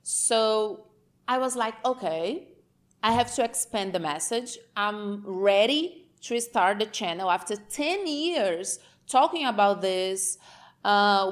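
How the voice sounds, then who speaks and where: A woman from Brazil with a Brazilian Portuguese accent, whose first language is Portuguese, speaking unscripted to camera as the host of her own channel. She talks frankly, wanders a little, and keeps checking in with "okay?". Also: fairly high pitch.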